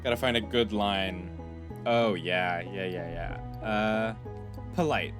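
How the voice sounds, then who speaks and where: A man's voice: lowish voice, slow, music in the background. Chatty young man playing a game. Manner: chatty, reads game lines aloud, thinking out loud with fillers. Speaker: gaming YouTuber. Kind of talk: casual let's-play commentary, reading dialogue aloud.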